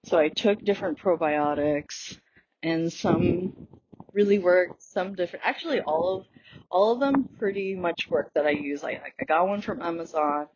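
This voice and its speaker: A woman's voice: fairly low pitch, normal speed, dull audio, background noise. A woman whose first language is Tagalog, hosting a casual solo podcast on a walk outdoors, talking in a chatty, rambling way with many fillers and restarts. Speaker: podcast host.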